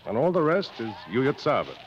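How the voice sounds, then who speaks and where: A man's voice: mid-range voice, big pitch swings, moderate pace, natural voice. Man acting a world-weary jungle guide, widely swinging delivery. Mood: weary, philosophical. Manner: knowing, didactic, dryly fatalistic. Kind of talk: mid-century American radio drama acting. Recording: dull vintage radio recording, faint noise.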